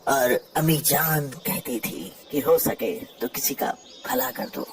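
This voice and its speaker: Cartoon fable heron, male actor: elderly, two-faced schemer, drawn-out delivery, distant and noisy recording. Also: medium pitch. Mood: mock sorrow. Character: sly old heron, falsely tearful and gloating. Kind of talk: animated animal fable dialogue. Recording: distant voice with clear background noise.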